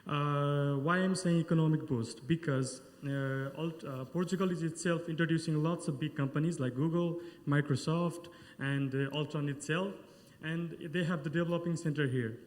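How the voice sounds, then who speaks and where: A man's voice: a little high, fairly even intonation, moderate pace. A man from Nepal giving a short testimonial at a conference, hesitant and full of fillers. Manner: hesitant, many fillers and restarts. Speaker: panel speaker. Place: large conference hall. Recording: long hall reverb.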